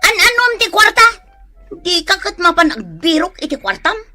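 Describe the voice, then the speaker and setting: Stressed out ilocano hairdresser wife, super high sharp whiny voice, radio comedy. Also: female voice, big pitch swings, normal speed, soft background music, heavily acted. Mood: exasperated nagging. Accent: Ilocano Filipino. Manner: whiny, wailing exclamations. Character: frazzled, quick-tempered beautician and nagging wife. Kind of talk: comedic radio drama acting.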